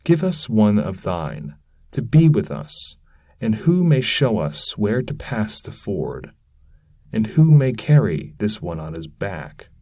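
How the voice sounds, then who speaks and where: A man voicing a calm, authoritative poet guide in a verse reading, explaining things solemnly and formally. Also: fairly low pitch, takes it slowly, dry recording, studio quality, full character voice. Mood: solemn, firm.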